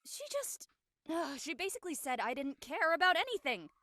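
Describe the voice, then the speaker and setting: A woman playing a carefree, easily offended teenage schoolgirl in a drama read, sarcastic and expressive. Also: high voice, normal speed, dry recording, exaggerated voice. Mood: hurt, sarcastic. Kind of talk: audio drama table read acting.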